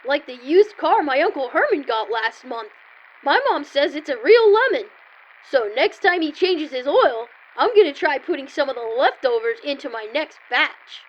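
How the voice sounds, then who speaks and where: A woman's voice: high voice, lively intonation, normal speed, muffled recording. A woman playing an earnest, naive little boy full of schemes in an old-time style radio comedy sketch. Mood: naive, eager. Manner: earnest, innocent, matter-of-fact.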